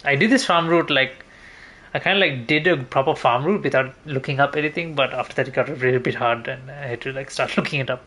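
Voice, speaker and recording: A man's voice: medium pitch, fast talker, bright, forceful tone. A man giving casual gameplay commentary as a streamer, thinking aloud in a rambling, mildly sweary way. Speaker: game streamer.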